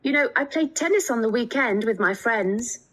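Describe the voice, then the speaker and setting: Bright, high-voiced young student. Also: female voice, animated, moderate pace. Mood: open, chatty. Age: 20s. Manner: careful, spells things out clearly. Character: friendly 28-year-old Japanese woman student seeking a homestay. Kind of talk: scripted listening test dialogue.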